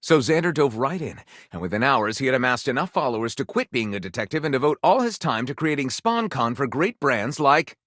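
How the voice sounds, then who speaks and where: A man's voice: mid-range voice, sing-song, a little fast, hardly any pauses, a bit bright, dry recording, studio quality. A man voicing an overwrought game narrator who tells lurid pulp fantasy in a dramatic, suspenseful style. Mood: grandiose. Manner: theatrical, sweeping, suspenseful delivery. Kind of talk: dramatic scripted storytelling.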